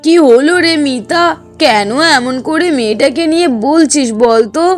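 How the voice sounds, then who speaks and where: A woman's voice: high-pitched, moderate pace, faint music behind, lightly acted. A woman voicing a kindly, patient older mother in a cartoon, soothing and reasoning. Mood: gently doubting. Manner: soothing, reasoning, mildly exasperated. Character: kindly older mother, patient, gently doubting, protective of daughter-in-law. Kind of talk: Bengali cartoon dialogue.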